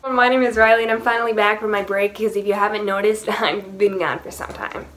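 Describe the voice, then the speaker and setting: A woman's voice: medium pitch, fairly even intonation, a little fast, fairly bright tone. Rambling teenage girl book vlogger. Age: teens. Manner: rambling, filler-heavy, giggly. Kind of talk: casual chat to camera.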